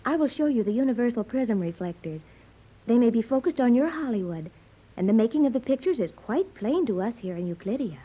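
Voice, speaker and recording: A woman's voice: fairly high pitch, lively intonation, a little fast, breathy edge. An actress playing an innocent, literal-minded young woman in a 1930s radio serial, with precise, stilted diction. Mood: grave, instructive. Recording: muffled, worn 1930s radio recording.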